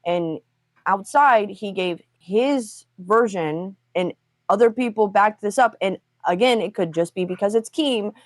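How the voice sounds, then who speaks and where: A woman's voice: mid-range voice, a little slow, dry recording, clean studio sound. A woman on a livestream talk show, speaking bluntly and pressing hard questions, with frequent swearing.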